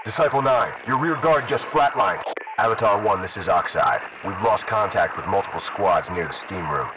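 A man's voice: fairly low pitch, animated, normal speed. A man playing a stern military commander in a video game, giving terse, procedural orders over a radio. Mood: stern, controlled. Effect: radio filter. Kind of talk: military radio chatter voice acting. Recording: noisy radio transmission, distant voice.

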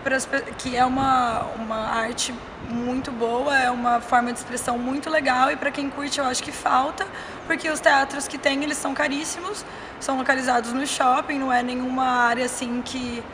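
A woman's voice: high voice, level tone, moderate pace. A young Brazilian woman in her twenties giving earnest, opinionated answers in a street interview at an outdoor station. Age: 20s. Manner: earnest, opinionated, lists examples. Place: outdoor train station platform. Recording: outdoor background noise.

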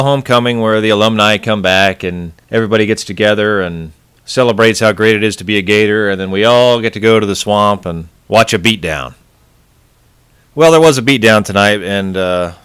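A man's voice: lowish voice, fairly bright tone, clean tone, dry recording. A man hosting a sports podcast, venting his frustration in a post-game rant. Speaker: podcast host.

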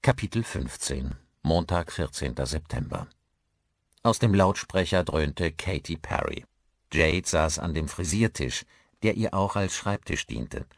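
A man narrating a scripted audiobook in an expressive storytelling manner. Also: very deep voice, gravelly, dry recording, studio quality.

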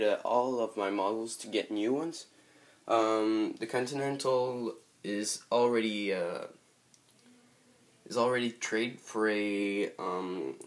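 A man from Montreal with a Quebec French accent, hesitantly showing off his model airplane collection in a casual vlog, full of ums and uhs. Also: mid-range voice. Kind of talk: casual show-and-tell vlog. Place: bedroom with model airport table, indoors.

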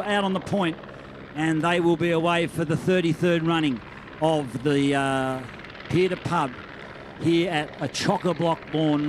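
A man's voice: high voice, background noise. A man giving live, chatty race commentary outdoors at a beach event. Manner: chatty, excitable.

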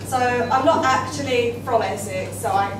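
A woman's voice: on the high side, moderate pace. A young woman from England presenting on a school stage with a handheld mic, playful and dry. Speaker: student presenter. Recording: echoey hall, far camera, noisy.

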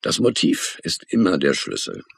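An actor playing a smug, suspicious homicide detective in a German radio crime drama, taunting and insinuating. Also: male voice, very deep voice, huge pitch swings, vocal fry, husky, dry recording, studio quality, heavily acted. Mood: smug needling. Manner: taunting, insinuating, drawn-out emphasis.

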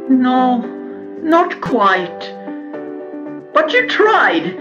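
High, slow, sweet cartoon sheep. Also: female voice, huge pitch swings, full character voice. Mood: sweet, encouraging. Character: sweet cartoon sheep asking children to count. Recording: dull lo-fi game audio. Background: music.

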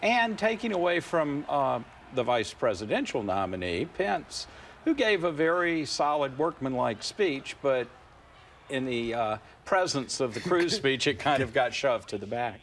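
Measured man analyzing politics on TV. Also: medium pitch, very animated, fairly bright tone, slight background noise. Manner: measured, explanatory, with frequent fillers and restarts. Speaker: poll director, studio guest analyst. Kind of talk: analytical studio interview. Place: TV studio set, seated on a couch. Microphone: lapel mic.

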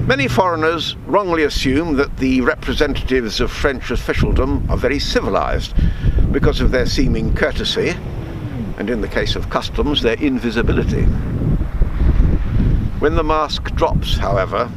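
A man, reading a true story aloud in measured, deliberate phrases. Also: mid-range voice. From Alderney, Channel Islands. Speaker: storyteller reading his book. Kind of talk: read-aloud true story with spoken asides. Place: outdoors on a rocky harbour shore. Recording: outdoor wind and water noise.

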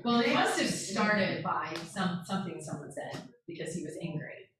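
A woman's voice: lowish voice, very animated, moderate pace, a bit bright. An American woman co-presenting an informal talk, sharing family memories and acting out little remarks as she goes. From Connecticut, USA. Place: echoey indoor presentation room. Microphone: far room camera or microphone. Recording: very echoey room, distant mic, audience noise.

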